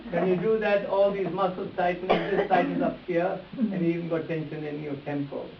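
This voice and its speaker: A man with a slightly high voice leads a live hands-on demonstration, speaking directly to volunteers and repeating his points. He is picked up from a distance in an echoey room, with a dull, faintly hissy sound. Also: steady tone, a little fast, creaky. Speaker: face reading teacher.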